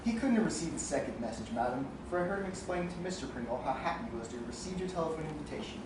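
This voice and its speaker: Woman as a fussy polite servant, low voice, talks fast, stage comedy, noisy echoey recording. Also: expressive. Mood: deferential. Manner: deferential, fussing. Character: deferential household servant reporting kitchen troubles to madam. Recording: very echoey room, distant noisy mic.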